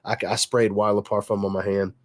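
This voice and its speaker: A man's voice: lowish voice, brisk, no room sound, studio quality. A man who is congested and stuffy, casually answering chat questions as a fragrance reviewer on a livestream. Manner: relaxed, reads chat questions aloud and answers conversationally.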